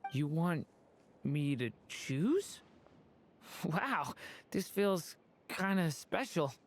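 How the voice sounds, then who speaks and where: A performer voicing a gentle young dragon boy in a video game, earnest, thoughtful and a little hesitant. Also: medium pitch, big pitch swings, drawn-out words, slight background noise, heavily acted. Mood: wondering.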